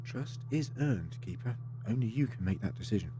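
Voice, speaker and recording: A man's voice: fairly low pitch, lively intonation, normal speed, background noise, natural voice. A man acting in an audio drama as a polite, assured time-travelling adventurer, articulate and theatrical with a wry streak.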